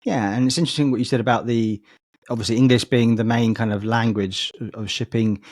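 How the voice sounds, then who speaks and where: A man's voice: medium pitch, fairly even intonation, brisk, a little mellow, dry recording, studio quality. A man hosting a podcast interview, asking questions hesitantly, stumbling and repeating words. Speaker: podcast host.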